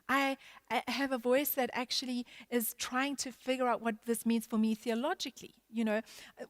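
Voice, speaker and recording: A woman's voice: fairly high pitch, no room sound. A woman talking through her thoughts as a church minister in an unscripted discussion, earnest and emphatic, repeating phrases to press her point.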